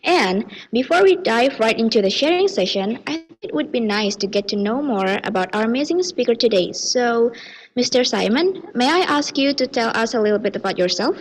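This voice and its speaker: A woman's voice: a little high, expressive, fairly quick, poor audio. A young woman moderating a webinar over a video call, upbeat and polite.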